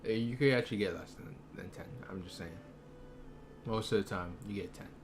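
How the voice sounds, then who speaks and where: A man's voice: on the low side, a little fast, background noise. A young man commentating casually over his own gameplay, laid-back and thinking aloud with slang. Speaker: gaming streamer.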